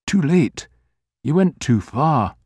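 A man's voice: on the high side, big pitch swings, slow pace, a little mellow, slightly rough, dry recording, pitched above the performer's own voice, heavily acted. A man voicing a shaken wartime man, raw and desperate, gasping out urgent words. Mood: numb.

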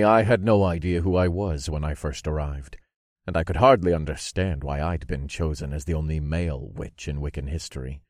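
A man voicing a triumphant dark lord in an audiobook, speaking in grandiose, commanding declarations. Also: low voice, dry recording, studio quality.

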